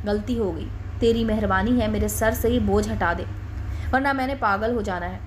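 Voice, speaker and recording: A woman's voice: medium pitch, moderate pace, slight background noise, close to an everyday voice. A woman voicing a worried young male shopkeeper in a story, earnest and pleading.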